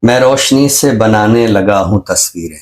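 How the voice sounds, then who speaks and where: A middle-aged Pakistani man, a professor and poet, speaking in a measured, professorial way and repeating phrases for emphasis on a literary show. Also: on the low side, darkish tone, soft background music. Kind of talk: reflective literary talk and poetry recitation.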